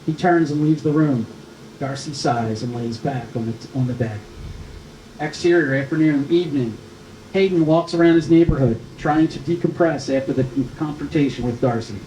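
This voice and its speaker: Mellow male narrator in an echoey room. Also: medium pitch, normal speed. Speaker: narrator reading stage directions. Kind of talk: scripted narration at a screenplay table read. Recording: echoey room with faint noise.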